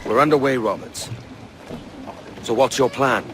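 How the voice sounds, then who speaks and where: A man's voice: medium pitch, unhurried, over music, natural voice. A man playing a blunt, sceptical young pirate captain in a video game, with a Welsh accent and a wry, plain-spoken manner. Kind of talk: dramatic game cutscene acting.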